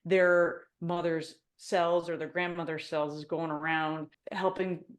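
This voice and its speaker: A woman's voice: lowish voice, flat delivery, unhurried. A woman in a casual book club discussion, arguing her point in an indignant way.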